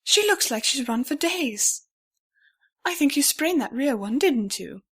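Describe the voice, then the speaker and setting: A woman's voice: high-pitched, sing-song, a little slow, a bit bright, pitched above the performer's own voice, full character voice. A woman voicing a proper, concerned middle-aged female pony in an audiobook.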